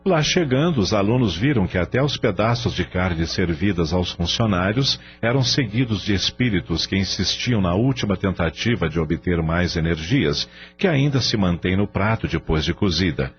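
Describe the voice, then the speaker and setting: A man's voice: sits low, lively intonation, normal speed, hardly any pauses, highs cut off. A Brazilian man narrating a radio novel in a dramatic scripted style. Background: music.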